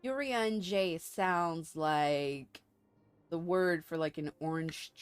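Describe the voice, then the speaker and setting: A woman's voice: deep voice, takes it slowly, smooth, dry recording. A woman livestreamer chatting casually while she plays a game. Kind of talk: casual chat over gameplay.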